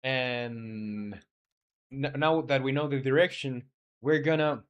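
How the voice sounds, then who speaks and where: A man whose first language is Spanish, a game developer chatting casually on a live stream. He thinks aloud in halting bursts, with frequent hesitations and restarts. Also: medium pitch, dull audio. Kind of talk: casual live-stream chat and coding talk.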